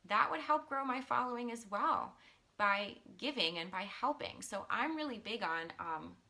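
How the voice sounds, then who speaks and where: A woman in her thirties, a coach, talking casually in a live stream, earnest and chatty with many ums, and a little hoarse from losing her voice. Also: a little high, lively intonation, normal speed, sharp tone. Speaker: mindset and business coach. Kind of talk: casual live-stream talk, reading viewer comments.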